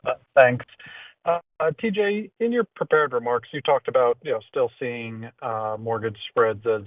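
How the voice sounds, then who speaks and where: A man asking a halting question as an analyst on an earnings call. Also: medium pitch, no echo. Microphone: telephone. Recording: distant, tinny phone line.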